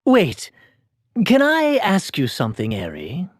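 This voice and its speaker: An actor playing a troubled, earnest young man in a video game, with a halting, dramatic delivery. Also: sits high, huge pitch swings, dry recording, clean studio sound, heavily acted. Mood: questioning, insistent. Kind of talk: English video game voice acting.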